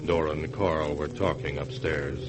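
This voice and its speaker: An actor playing an ominous narrator of horror tales, speaking solemnly and dramatically in 1940s radio style. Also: male voice, a bass voice, little pitch movement, moderate pace, background noise. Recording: old 1940s radio recording. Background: music.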